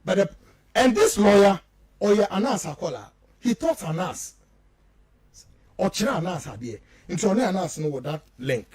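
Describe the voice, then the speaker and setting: High-pitched, animated Ghanaian man, emphatic. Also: measured pace, pauses often. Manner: emphatic, accusatory, repeats phrases for stress. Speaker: political commentator on a radio show. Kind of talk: impassioned talk radio commentary mixing two languages.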